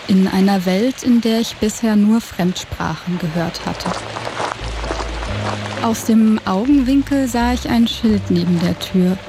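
A woman narrating an audio drama in the first person, with a soft, lyrical and introspective delivery. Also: medium pitch. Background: music.

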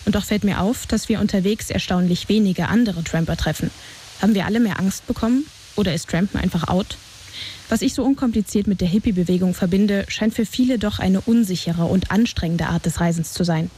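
A young woman reporter reading a scripted radio feature in a calm, measured way. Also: medium pitch, slight background noise.

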